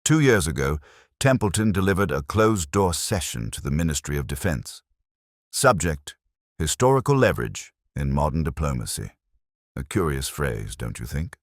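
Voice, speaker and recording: An intense, analytical gentleman detective played by a male narrator speaks in a very deep voice. His delivery is deliberate and declamatory, with wide pitch movement. It is a brooding storybook figure, recorded dry. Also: very animated, no echo, exaggerated voice. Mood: grave, certain.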